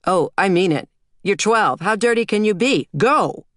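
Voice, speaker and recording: A woman's voice: on the low side, expressive, a little slow, no echo, clean studio sound, pitched above the performer's own voice. A woman voicing an irritable, impatient father in an audiobook, with a curt, stern, snappy delivery. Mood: snappish. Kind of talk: audiobook character voice.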